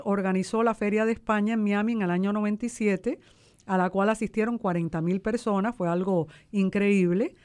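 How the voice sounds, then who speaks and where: A woman's voice: mid-range voice, clean tone. A woman who founded an arts organization, speaking as an interview guest and explaining things. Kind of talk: promotional interview talk, explanatory.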